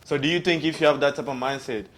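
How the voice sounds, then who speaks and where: A man's voice: medium pitch, fast, a bit bright, a little hiss or hum. Laid-back young man hosting a chat. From Kenya. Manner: laid-back, teasing, mixes in Swahili. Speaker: host. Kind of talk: casual group discussion.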